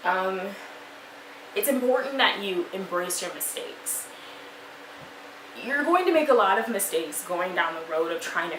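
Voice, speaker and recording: A woman in her twenties giving encouraging advice in a chatty vlog style, with plenty of fillers. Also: medium pitch. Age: 20s. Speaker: vlogger and life coach. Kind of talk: motivational talk to camera. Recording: echoey room with noise.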